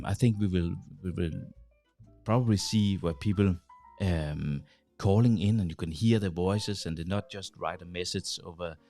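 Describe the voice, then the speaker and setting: A man in his forties with a Danish accent, hosting a channel and talking casually to the camera from a small home studio, halting, with many restarts and fillers. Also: deep voice, mellow. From Denmark. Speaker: host. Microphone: large studio mic on boom arm, headphones.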